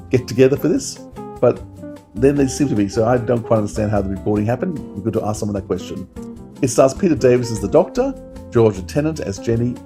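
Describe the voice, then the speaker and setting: A man co-hosting a podcast, giving information in casual, musing, discursive chat. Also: medium pitch. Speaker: podcast co-host.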